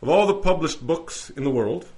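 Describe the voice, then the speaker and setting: A man's voice: on the high side, expressive, moderate pace, darkish tone, natural voice. An actor playing a cynical, weary lawyer in an audio drama, switching between wry asides and formal address. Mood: formal, projecting. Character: weary, cynical celestial defence lawyer, adult man.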